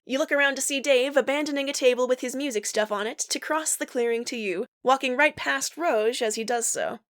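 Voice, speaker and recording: A woman's voice: sits high, fairly quick, no echo, studio quality. A woman reading fan fiction aloud as a podfic voice actor, narrating in the second person and acting out dialogue.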